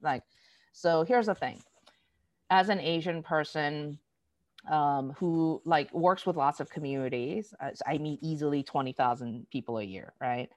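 An American woman speaking in an interview, explaining things in an animated, storytelling way and acting out quoted dialogue. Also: sits low, unhurried, no echo. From United States. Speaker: diversity educator. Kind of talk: conversational interview.

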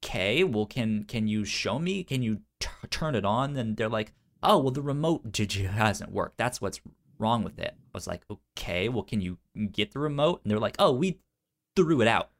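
A man's voice: fairly low pitch, animated, moderate pace. A man chatting casually as a podcast co-host, stuttering on first sounds of words and acting out conversations as he tells stories. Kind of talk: casual storytelling chat.

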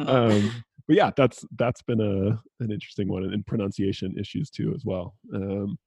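Male podcast host, low-pitched and gravelly, casual conversational delivery, close dry sound. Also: fairly even intonation, normal speed, darkish tone, no echo. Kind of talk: casual chat.

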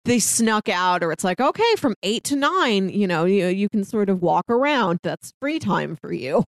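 A woman's voice: mid-range voice, sing-song, moderate pace, no echo. A woman chatting casually about a film as a podcast co-host.

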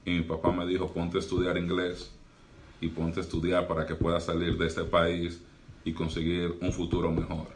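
A man's voice: low-pitched, completely flat delivery, moderate pace, a little hiss or hum. A young man from the Republic, a doctor and pastor telling his life story earnestly in a podcast interview. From Dominican Republic. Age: young adult. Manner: earnest, reflective, uses fillers like eh.

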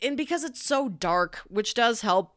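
A woman's voice: a little high, lively intonation, unhurried, a bit bright, no room sound, studio quality. A woman co-hosting a casual film discussion podcast, analytical and emphatic as she makes her points. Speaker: podcast co-host reviewing a film.

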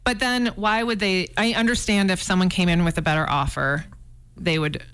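A woman's voice: fairly low pitch, fairly even intonation, moderate pace, fairly bright tone, clear, resonant tone. A woman co-hosting a talk show, chatting informally in a playful, opinionated way. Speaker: sports show co-host playing the non-expert. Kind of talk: casual chat and banter.

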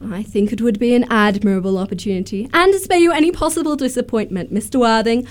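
A woman's voice: fairly high pitch, quick pace, close to an everyday voice. A woman playing a self-assured, romantic young Victorian lady in a radio comedy, with an affected, emphatic manner. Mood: gushing, self-assured. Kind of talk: stage-comedy radio acting.

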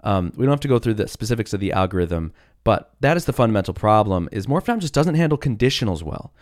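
A man hosting a casual solo podcast, sick with a cough that has deepened his voice, rambling with many fillers and restarts. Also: very animated, quick pace, a little mellow, dry recording, studio quality. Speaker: podcast host.

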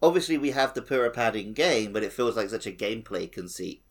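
A man's voice: lowish voice, animated, a little fast. A man co-hosting a podcast, talking casually about video games. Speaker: podcast co-host. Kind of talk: casual discussion of a video game.

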